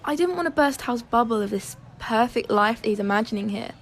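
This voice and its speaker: A woman's voice: fairly high pitch, animated, a little fast, slight background noise. A woman playing a guarded, sceptical young loyal friend in an audio drama, hesitant and doubtful.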